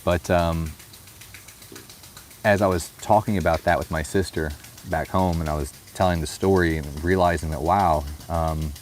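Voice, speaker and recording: A man with a very low, deep voice reflects aloud in a personal vlog. He speaks in a thoughtful, unhurried way, often stopping to add "you know". Soft music plays faintly behind him. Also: fairly even intonation. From United States. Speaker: vlogger.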